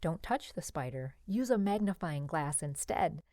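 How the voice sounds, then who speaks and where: A woman narrates an educational children's book in a fairly low voice. Her read-aloud phrasing is simple and clear, and the sound is very dry, with no room around it. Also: lively intonation, normal speed, warm tone, no echo.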